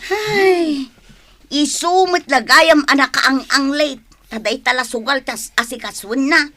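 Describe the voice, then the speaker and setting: A woman playing a lively younger woman in a radio drama, expressive, with an Ilocano accent. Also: high voice, unhurried, dry recording. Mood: sympathetic. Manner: expressive, exclaiming.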